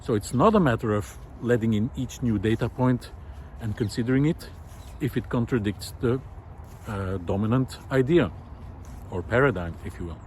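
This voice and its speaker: A man, musing aloud in a walk-and-talk video. He talks reflectively and often pauses with "uh". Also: medium pitch, a little mellow, a little hiss or hum. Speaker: video essayist. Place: outdoor park lawn. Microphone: handheld camera while walking.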